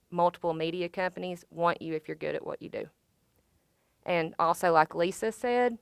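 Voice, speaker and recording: A woman's voice: lowish voice, creaky, a bit bright, smooth, no echo, studio quality. A woman, talking on a panel about her broadcasting career in an upbeat, conversational way. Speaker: alumni panelist, sports broadcaster. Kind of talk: panel talk.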